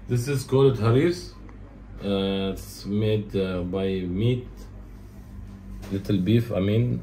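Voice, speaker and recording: A man's voice: fairly low pitch, mellow, slight background noise. Local guide, man, Arabic native, hesitant English, playful. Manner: halting English with fillers, jokey. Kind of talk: casual chat.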